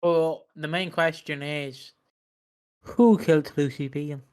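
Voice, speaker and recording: A man's voice: fairly high pitch, fairly even intonation, measured pace, a little mellow, clear, resonant tone. A man co-hosting a casual podcast about a TV soap, joking around and repeating playful catchphrases. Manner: jokey, chants playful catchphrases. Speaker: podcast co-host.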